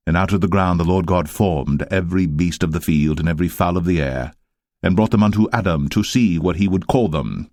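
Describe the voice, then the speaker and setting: Deep-voiced man narrating scripture. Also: darkish tone, dry recording, studio quality. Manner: solemn, measured.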